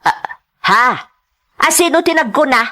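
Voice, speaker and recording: A woman's voice: high voice, animated, normal speed, fairly bright tone, voice pushed up. A woman playing a concerned village mother in a radio-style drama, coaxing and fussing, with an Ilocano accent.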